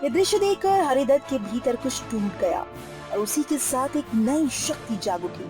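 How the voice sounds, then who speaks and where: A woman's voice: high voice, animated, moderate pace, over music. A woman narrator reading a scripted story in a dramatic style.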